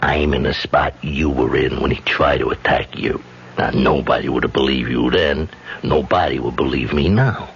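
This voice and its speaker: An actor playing a blunt, menacing male gangster in a 1970s radio drama, talking in a slow, threatening street manner. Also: extremely low pitch, gravelly, slight background noise. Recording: dull vintage radio broadcast.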